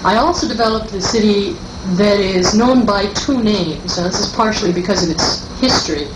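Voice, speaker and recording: A woman game designer explaining her invented world in a measured way during a group discussion. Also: medium pitch. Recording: noisy roomy 1982 cassette tape.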